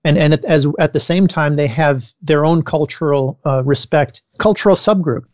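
A man's voice: a little high, normal speed, no room sound, muffled recording. A man with an American accent, a guest giving frank, emphatic opinions in a political interview and thinking aloud as he goes. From United States.

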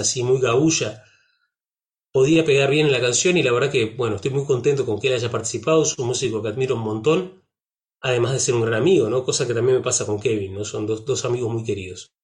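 A man from Uruguay with a Rioplatense Spanish accent, a musician talking about his album in a relaxed interview, reflective, with frequent fillers and tag questions. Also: mid-range voice.

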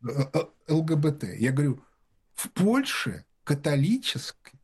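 A man's voice: a little high, very animated, normal speed, husky. A man telling rambling anecdotes in casual talk-show conversation, full of fillers and restarts. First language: Russian.

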